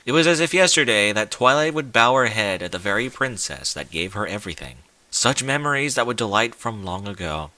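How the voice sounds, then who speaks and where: Bright, theatrical male story narrator. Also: fairly low pitch, no echo. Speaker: fan fiction narrator. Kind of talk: dramatic scripted story narration.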